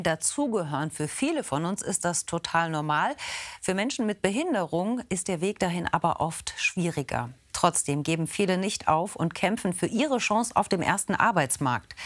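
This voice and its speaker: A woman presenting a television news programme, introducing a report in a clear, composed way. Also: on the low side. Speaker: news anchor.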